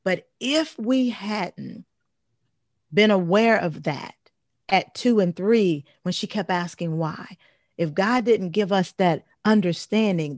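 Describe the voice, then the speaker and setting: A woman in her forties with a heavy, deep voice, telling stories in an emphatic, animated way as a guest in a casual interview. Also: no echo, clean studio sound. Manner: emphatic, animated storytelling with repeated phrases. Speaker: guest speaker and life coach.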